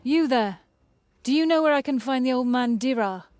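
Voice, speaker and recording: An actress playing a determined, assertive young heroine in a game cutscene. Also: female voice, sits high, animated, normal speed, darkish tone, dry recording, clean studio sound, soft background music. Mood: impatient. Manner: direct, assertive. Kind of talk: scripted game cutscene acting.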